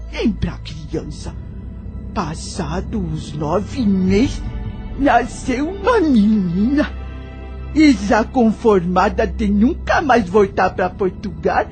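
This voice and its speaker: A Brazilian woman playing a wise, sorrowful elderly enslaved nanny in an audio drama, speaking slowly in folksy, old-fashioned words. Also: medium pitch, darkish tone.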